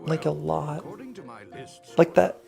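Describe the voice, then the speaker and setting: A man with a gravelly voice, co-commentating on a video game in casual, sometimes sweary chat. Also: on the high side, flat delivery, slow, dark tone, faint music behind.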